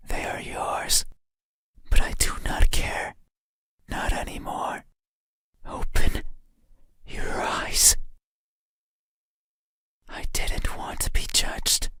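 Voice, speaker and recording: A performer voicing an eerie, obsessive monster in a breathy whisper, speaking slowly and menacingly in short phrases for an ASMR horror roleplay. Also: sharp tone. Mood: accusing, eerie. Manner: slow, deliberate, menacing, broken into short phrases. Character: eerie, obsessive monster demanding you open your eyes.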